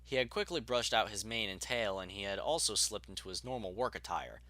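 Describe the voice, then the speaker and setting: A man's voice: on the low side, lively intonation, a little fast, pushed and bright, no echo. A man reading scripted story narration as the narrator of a fiction audiobook.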